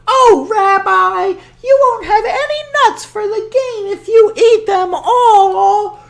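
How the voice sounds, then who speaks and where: A woman voicing a kindly, doting old woman in a storybook, speaking in a high, sing-song way. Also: slow, gravelly, heavily acted. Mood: cheerful. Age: older. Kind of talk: storybook character voice.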